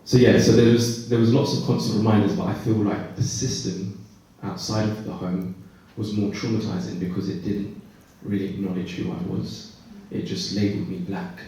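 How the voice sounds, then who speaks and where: A man on a conference panel telling his own story, reflective and pausing mid-thought. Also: on the low side, steady tone, dark tone. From Britain. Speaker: panelist, care leaver. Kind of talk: personal testimony on a panel. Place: conference hall. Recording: echoey hall, voice far from mic.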